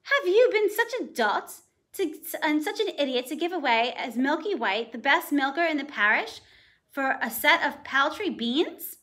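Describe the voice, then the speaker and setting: Woman playing a fretful, scolding storybook mother; high and sharp. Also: sing-song, fairly quick, hardly any pauses, exaggerated voice. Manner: exasperated, scolding. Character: poor widowed mother, worried and scolding.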